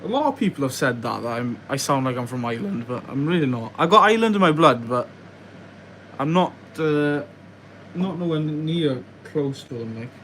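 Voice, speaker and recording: A man's voice: on the high side, expressive, moderate pace, fairly bright tone, slight background noise. A young man from West Wales chatting casually on a live stream, reading out viewer questions and muttering to himself as he works at a bench. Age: young adult. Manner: chatty, reads viewer questions aloud and mutters while working. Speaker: livestreamer building a model farm. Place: indoor workbench.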